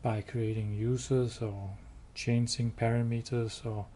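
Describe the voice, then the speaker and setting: A man giving a hands-on product demo indoors, explaining step by step in a halting, matter-of-fact way. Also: mid-range voice, little pitch movement, warm tone. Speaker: product demonstrator. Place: indoors, showroom with wall-mounted devices. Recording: clear background noise.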